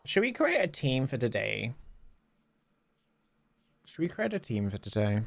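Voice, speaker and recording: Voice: mid-range voice, measured pace, creaky. A streamer chatting casually and exclaiming while playing a game, talking half to themselves. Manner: animated, exclamatory, talking to self. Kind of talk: casual livestream gameplay chatter. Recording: game music behind. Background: video game music.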